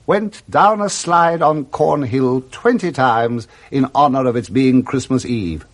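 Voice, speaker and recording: A man with an English accent narrating a classic story for 1960s British radio in a measured, theatrical manner. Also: mid-range voice.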